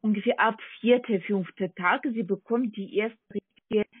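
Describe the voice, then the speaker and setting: A non-native speaking woman with a foreign accent, working as a call center agent, giving a halting, repetitive, polite sales pitch over the phone. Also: breathy edge, no room sound. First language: not German. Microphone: telephone. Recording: tinny, narrowband phone line.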